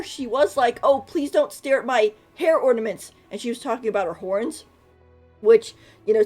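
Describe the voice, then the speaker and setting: A woman game streamer with a fairly high voice gives casual live commentary. She is gushing and informal, with faint music behind her. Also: a little mellow.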